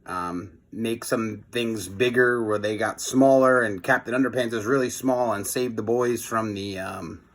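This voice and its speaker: A man hosting a children's read-aloud, moving between expressive storybook reading and informal, hesitant asides. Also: fairly low pitch, measured pace, hardly any pauses.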